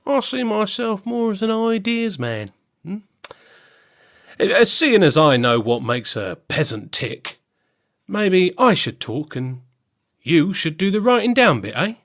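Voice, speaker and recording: Cheeky British peasant, lively and highish, acted by a man. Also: measured pace, heavily acted. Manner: chummy, plain-spoken, colloquial. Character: cheery, cheeky, smelly peasant serf. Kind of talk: comic period audio drama acting.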